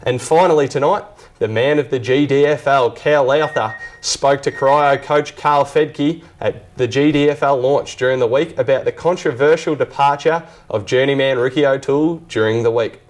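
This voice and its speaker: A man's voice: medium pitch, normal speed, a little hiss or hum. A man with an Australian accent reading scripted sports news as a reporter.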